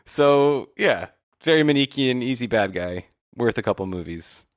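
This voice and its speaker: A man's voice: medium pitch, expressive, moderate pace, dry recording, clean studio sound. A man, a podcast host giving a casual, rambling recap.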